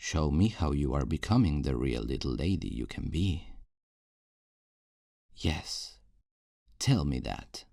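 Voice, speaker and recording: A man's voice: rumbling low, expressive, vocal fry, dark tone, no room sound, clean studio sound, heavily acted. A man playing a possessive, falsely tender kidnapper in an intimate ASMR roleplay, coaxing and condescending with veiled menace. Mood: pleased, coaxing. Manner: slow, coaxing, condescending endearments with veiled threats.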